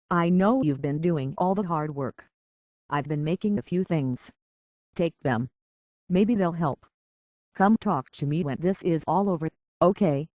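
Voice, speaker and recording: An actress playing an earnest, conflicted young woman in a video game, speaking hesitantly and with a soft heart. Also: low-pitched, no echo, muffled recording. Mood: resolved, caring. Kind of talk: video game character dialogue.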